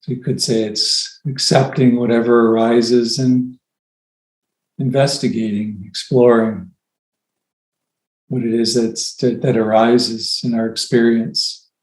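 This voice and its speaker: A man leading a guided meditation as a teacher, with gentle, unhurried phrasing and long pauses. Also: medium pitch, level tone. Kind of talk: guided meditation, softly spoken instruction. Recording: roomy, echoey sound.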